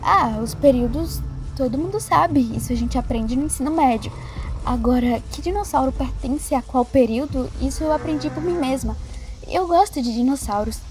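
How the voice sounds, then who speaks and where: A woman's voice: sits high, normal speed, darkish tone, close to an everyday voice. A woman playing an earnest young biologist in an audio drama, explaining things somewhat hesitantly. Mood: defensive.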